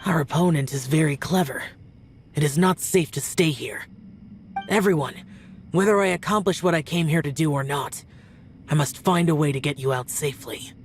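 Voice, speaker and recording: A woman voicing a stern, stoic young immortal warrior, a male video game character speaking in measured, solemn tones. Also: low-pitched, a little hiss or hum, lightly acted. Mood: grave warning. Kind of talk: dramatic video game voice acting. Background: faint game soundtrack music.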